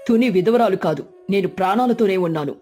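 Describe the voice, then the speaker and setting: A firm, protective father sparrow, played by a woman, with a mellow, dark tone. He is an earnest cartoon family man, with music behind. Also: medium pitch, brisk, natural voice.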